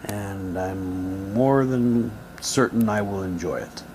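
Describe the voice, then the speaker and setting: A man's voice: low voice, slow, a little mellow, a little hiss or hum. A man doing a casual unboxing, talking in a rambling, repetitive way and reading printed items aloud. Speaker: hobby vlogger unboxing audio drama sets.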